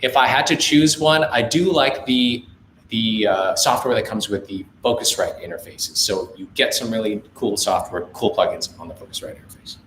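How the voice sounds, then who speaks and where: A man's voice: lowish voice, normal speed. Host, young adult man, American accent, easygoing advice from a home studio, roomy, faint hiss. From India. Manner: relaxed, reads viewer comments aloud, then gives friendly gear advice. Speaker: livestream host, music producer. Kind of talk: casual live chat answering questions.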